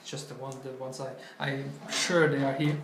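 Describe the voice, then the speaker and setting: A young man whose first language is not English, co-hosting a casual podcast chat in a loose, halting way with many restarts. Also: mid-range voice, little pitch movement, fairly bright tone, reverberant, slight background noise. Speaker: co-host. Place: small bright office room.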